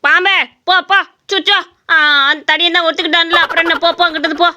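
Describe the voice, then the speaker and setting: A woman voicing a cheeky, scheming village boy in an animated comedy, with wheedling rural Tamil Nadu speech. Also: extremely high pitch, fast, a little hiss or hum, full character voice. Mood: bargaining, bossy. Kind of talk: animated comedy story voice acting.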